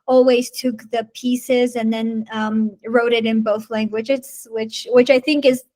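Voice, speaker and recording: A woman's voice: a little high, level tone, measured pace, a little mellow. A woman host whose first language is Armenian, asking questions in a friendly, chatty way over a video call. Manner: friendly and chatty, laughs often. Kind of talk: casual video-call interview.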